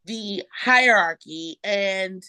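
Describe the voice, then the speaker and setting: A woman in her thirties co-hosting a casual podcast, chatty and animated, swearing now and then. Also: medium pitch, very slow, smooth, dry recording. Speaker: podcast co-host. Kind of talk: casual chat.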